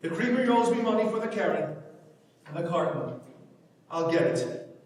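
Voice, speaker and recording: Defiant young Irish son male actor, high-pitched and proud, far off in an echoing hall. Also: very animated, normal speed, darkish tone. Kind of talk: dramatic stage reading of a play. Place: large reverberant indoor hall, from far off. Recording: very echoey hall, distant mic.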